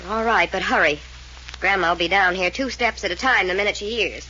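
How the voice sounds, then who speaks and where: An actress playing an anxious, inquisitive young accomplice, hesitant and eager, in a 1940s radio mystery. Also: female voice, mid-range voice, brisk, pushed and bright, natural voice. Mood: anxious, curious. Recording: noisy old radio transcription.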